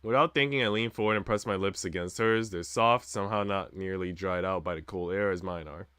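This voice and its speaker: A man's voice: sits low, fairly quick, bright, forceful tone, clean tone, no echo, studio quality. A man streaming a visual novel, reading the story narration expressively with casual asides.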